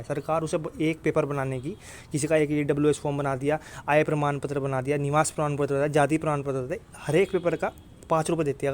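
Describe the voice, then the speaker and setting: A man's voice: a little high, a little hiss or hum. A man from India with a North Indian accent, giving an informal explainer straight to viewers in a blunt, insistent manner, repeating his points and checking they follow. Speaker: exam coaching YouTuber.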